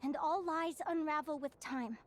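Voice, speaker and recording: A woman playing a young fae girl in an English anime-style game, earnest and vulnerable yet brave, with emotional, halting sincerity. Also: high voice, lightly acted. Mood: reflective, resolute.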